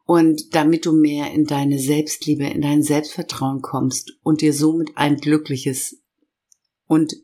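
A middle-aged woman hosting a self-help podcast, her voice hoarse from a cold, speaking slowly and emphatically as she lists feelings and addresses the listener directly. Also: deep voice, little pitch movement, dark tone. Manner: slow, emphatic listing of feelings. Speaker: podcast host and inner-child coach. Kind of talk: warm guided podcast talk.

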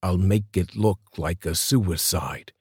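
A man's voice: deep voice, a little mellow, breathy edge, no room sound, clean studio sound, full character voice. A man doing a sneering thug villain. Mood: sneering threat. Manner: sneering, insulting, slow threatening delivery. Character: menacing middle-aged male thug, sneering and greedy.

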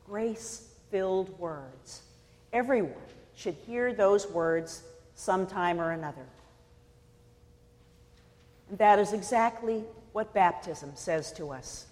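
A woman from California preaching a sermon in a measured, deliberate way, pausing for emphasis. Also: medium pitch, a little mellow, big-room sound. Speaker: pastor.

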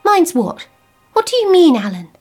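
A woman reading the part of a gentle, devout young woman in an audiobook ghost story, emotional and pleading. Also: medium pitch, big pitch swings, fairly quick, breathy edge, soft background music.

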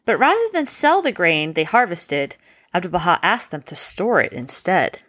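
Lady reading as a kind patient uncle in a kids story, gently explaining, humble. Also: on the low side, very animated, moderate pace.

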